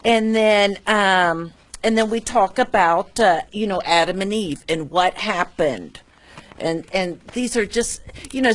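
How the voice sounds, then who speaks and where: A woman who teaches kindergarten, sharing in a casual group talk in a halting way with many pauses. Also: medium pitch.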